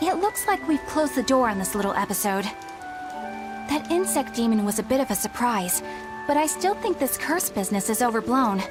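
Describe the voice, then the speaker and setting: High-voiced, prim young knight. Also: female voice, sing-song, moderate pace, over music. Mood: composed, skeptical. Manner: formal, earnest, prim. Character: proper, idealistic young woman knight. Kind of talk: English video game dub acting.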